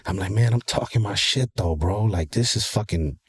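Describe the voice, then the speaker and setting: A very low, nasal male voice. He talks loosely and with energy, dropping curse words and "you know what I'm saying" as he goes, like a rapper chatting on a radio show. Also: moderate pace, breathy edge. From Ontario, California. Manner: loose, animated and profane, with lots of fillers. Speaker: rapper, guest. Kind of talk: casual interview chat.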